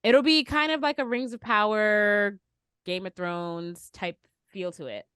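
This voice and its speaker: A woman's voice: mid-range voice, measured pace, a bit bright, clean tone, no echo, clean studio sound. A woman hosting a podcast, chatting casually about pop culture. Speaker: podcast host.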